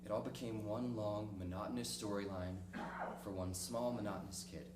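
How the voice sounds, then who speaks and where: A man acting a lonely, cynical middle-aged diarist in a dramatic stage reading, speaking reflectively and ruefully with halting pauses. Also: on the low side, fairly even intonation, lightly acted. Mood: weary, wistful. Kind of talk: dramatic monologue in a one-act play reading. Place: small theater stage, recorded from the audience distance. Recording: distant, echoey stage recording with noisy hum.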